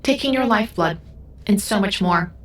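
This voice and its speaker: A woman's voice: mid-range voice, normal speed, close to an everyday voice. A woman playing a young otherworldly female guide in an audio drama, solemn, sad and a little wry. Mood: solemn, knowing.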